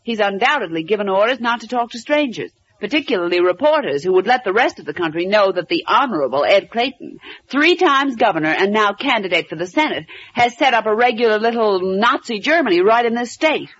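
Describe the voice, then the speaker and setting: An actress playing a brisk, outspoken American woman reporter in a 1940s radio drama, emphatic and insistent. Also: medium pitch, lively intonation, a bit bright. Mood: indignant, insistent. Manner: emphatic, insistent, quick to scoff. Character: Lois Lane, brisk, outspoken, scornful woman newspaper reporter. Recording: muffled old radio broadcast recording.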